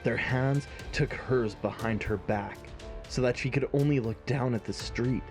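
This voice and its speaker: A man narrating a scripted fantasy story in a measured, dramatic way. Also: medium pitch, soft background music.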